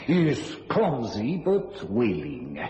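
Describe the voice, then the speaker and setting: An actor playing a domineering, smug stage magician in a radio thriller, with an imperious, condescending manner. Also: male voice, medium pitch, wildly swooping pitch, extremely slow, mellow, heavily acted. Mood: suave, controlling. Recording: muffled radio recording, faint hiss.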